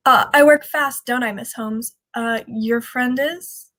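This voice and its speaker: A fairly high-voiced young woman of the underworld, acted by a woman, running a shady café in an old radio drama. She is a charming scoundrel, greedy and playful, always wheedling. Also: slow, fairly bright tone. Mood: teasing, greedy. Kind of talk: 1940s radio drama acting.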